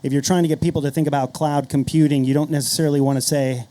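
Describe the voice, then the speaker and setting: A man talking as a marketing panelist, explaining ideas to a group. His voice sits a little high for a man and has a soft, mellow tone. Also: fairly even intonation, racing. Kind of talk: panel discussion, explanatory talk.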